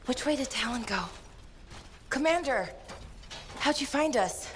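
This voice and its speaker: An actress playing an earnest, headstrong young adventurer in a video game, urgent and pleading in manner. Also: female voice, high voice, animated, unhurried, noisy background. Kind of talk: video game character dialogue.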